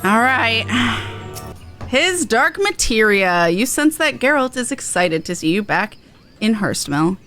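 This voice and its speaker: A woman's voice: fairly high pitch, very animated, unhurried, fairly bright tone, soft background music. A woman game streamer chatting casually through a playthrough and reading out on-screen text.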